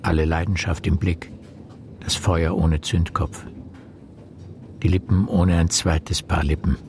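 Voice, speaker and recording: A man's voice: sits low, fairly even intonation, a little mellow, a little hiss or hum. An actor playing a brooding man who questions and accuses insistently, in a poetic German radio play. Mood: probing. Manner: literary, deliberate, insistent questioning.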